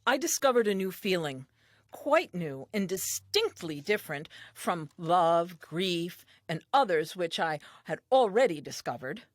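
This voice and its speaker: A woman's voice: fairly low pitch, very animated, measured pace, dry recording, studio quality. An actress playing an earnest, naive and tender first woman, telling her diary in an audio play with wide-eyed expression. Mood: fearful.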